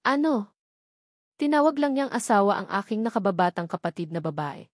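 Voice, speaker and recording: A woman's voice: mid-range voice, sing-song, brisk, dry recording, studio quality. A woman voicing a cold, angry, demanding fairy swordswoman in a story reading. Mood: outraged.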